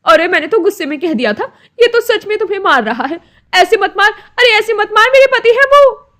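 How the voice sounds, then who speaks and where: A woman voicing a greedy, sharp-tongued village wife in a moral-story cartoon, with dramatic delivery. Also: very high-pitched, expressive, a little fast, pitched above the performer's own voice. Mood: panicked, sorry. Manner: taunting, dramatic.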